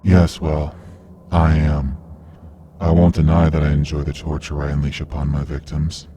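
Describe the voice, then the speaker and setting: Man acting a very deep-voiced, cold mastermind villain. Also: monotone, mellow, slight background noise. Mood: cold menace. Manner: measured, menacing, lecturing. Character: cold, calculating, self-righteous male villain, a vigilante serial killer. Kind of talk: radio drama acting.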